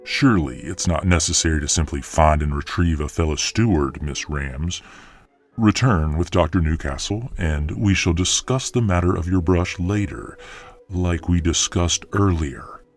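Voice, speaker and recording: A man plays a stern lord in a fantasy audio drama. His voice is very deep and he speaks with firm authority. Soft music runs underneath. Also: normal speed. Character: commanding, stern male fantasy lord presiding over council.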